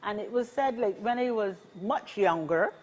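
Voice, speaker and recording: A woman's voice: medium pitch, animated, normal speed, a little mellow, low-quality recording. Barbadian woman in her sixties, encouraging interview guest, upbeat and repetitive. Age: 60s. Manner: upbeat, encouraging, repeats key phrases. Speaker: senior advocacy organization representative. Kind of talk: casual interview conversation.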